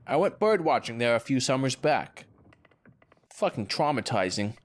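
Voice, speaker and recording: A man's voice: mid-range voice, big pitch swings, normal speed, natural voice. A man acting a chipper, needling lawyer in an audio drama, breezy, sarcastic and boastful. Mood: animated, flippant.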